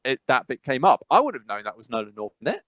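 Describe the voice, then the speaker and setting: A man's voice: medium pitch, huge pitch swings, quick pace, no echo, dull audio. A man chatting casually as a podcast co-host, rambling and acting out reactions as he goes. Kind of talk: casual chat.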